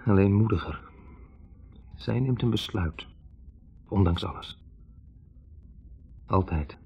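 Wavering young male medical student for radio drama: low, husky, hesitant, on a dull old recording. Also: takes it slowly, faint music behind, full character voice. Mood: hesitant, reflective. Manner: hesitant, searching for words. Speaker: actor in a radio play. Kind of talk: 1960s Dutch radio drama acting. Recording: dull, band-limited 1960s radio recording.

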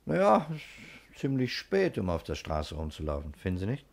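A shrewd investigator in a 1960s radio crime drama. His voice is very low. He questions people politely and with understatement, always probing a little further. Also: wildly swooping pitch, normal speed, vocal fry, heavily acted. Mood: courteous, probing. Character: shrewd, courteous male investigator questioning witnesses.

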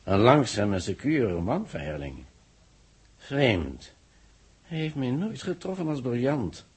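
An actor playing a grave astronomer in a 1960s Dutch radio drama, speaking in a measured, solemn way with dramatic pauses. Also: male voice, medium pitch, sing-song, darkish tone, breathy edge, heavily acted. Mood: solemn, foreboding. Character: grave male astronomer warning of solar catastrophe. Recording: old 1960s radio recording.

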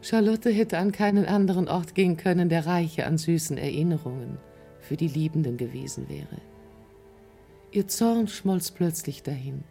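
A woman's voice: low-pitched, normal speed, vocal fry, mellow, soft background music. A woman giving dramatic literary narration in a radio drama.

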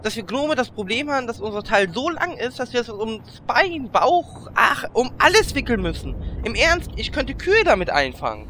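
Man acting as whiny cheeky gnome, very animated pitch, sharp bright tone, rambling complaints, parody radio play. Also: normal speed, few pauses, a little hiss or hum, full character voice. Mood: whiny, distressed. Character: whiny, lewd, cheeky male gnome adventurer.

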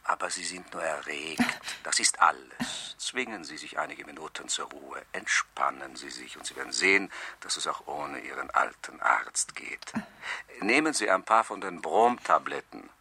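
An actor playing a calm, evasive older family doctor, soothing and measured, in a 1970s radio crime drama. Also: male voice, rumbling low, a little hiss or hum, exaggerated voice. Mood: soothing, dismissive.

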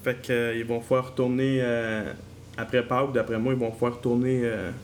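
A man's voice: medium pitch, level tone, a little fast, a little hiss or hum. A man with a Québécois French accent co-hosting a casual podcast, rambling and laughing between lines. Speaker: podcast co-host.

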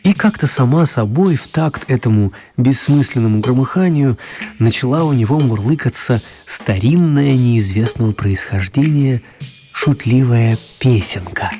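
A man narrating a children's radio story in a wry, confiding way, with expressive, deliberate phrasing and dramatic pauses. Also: medium pitch, faint music behind, close to an everyday voice. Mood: wry storytelling.

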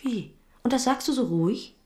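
A woman plays an ambitious, scheming confidante in a radio drama. Her voice is fairly high and very lively in pitch. She speaks urgently and excitably, scolding and coaxing. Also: normal speed, lightly acted. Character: eager, ambitious female confidante scheming for wealth and power. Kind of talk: German radio drama acting.

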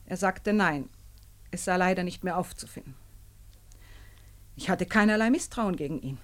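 Actress as a guarded, low-voiced French madame. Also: female voice, animated, moderate pace, close to an everyday voice. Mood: composed. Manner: controlled, curt, formal. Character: guarded, unyielding wife answering a police inquiry. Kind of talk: 1950s German radio drama acting.

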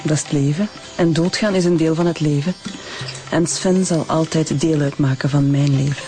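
An actress playing a composed bereaved woman under police questioning in a radio drama, measured and serene. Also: sits low, fairly even intonation, warm tone, faint music behind, close to an everyday voice.

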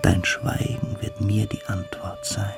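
Fanatical young cadet, low gravelly voice, commanding hypnotist, radio drama, cruel and deliberate. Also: male voice, flat delivery, music in the background. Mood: hypnotic, hushed. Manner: slow, hypnotic commands, sinking into whispers. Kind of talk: radio play acting.

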